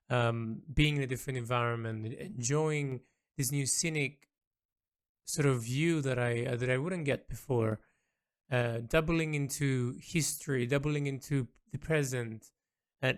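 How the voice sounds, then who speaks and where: A man speaking an unscripted, reflective audio log as an illustrator and storyteller, halting often to search for words and repeat himself. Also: medium pitch, clear, resonant tone.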